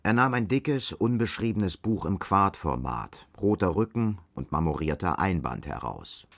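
An actor playing a sober storyteller, narrating a bleak dystopian radio drama in a measured, matter-of-fact manner. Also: male voice, sits low, big pitch swings, vocal fry, a little hiss or hum, exaggerated voice.

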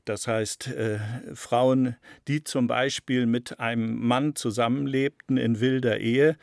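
A man giving analytical interview answers as an expert, deliberate and explanatory, stressing key words. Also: mid-range voice, creaky, no echo, clean studio sound. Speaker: interviewed expert on a criminal case.

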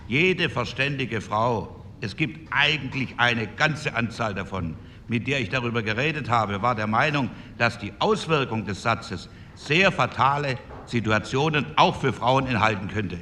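A man makes an argumentative point in an archival parliamentary debate. His voice is bright and forceful, with faint room noise behind him. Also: medium pitch, animated, unhurried.

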